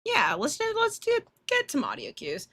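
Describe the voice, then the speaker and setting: Bright-voiced, casual woman livestreamer. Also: sits high, wildly swooping pitch, normal speed, no echo. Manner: foul-mouthed, irreverent, blunt. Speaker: live streamer hosting a visual novel playthrough. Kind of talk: casual livestream chat, reading game menus aloud.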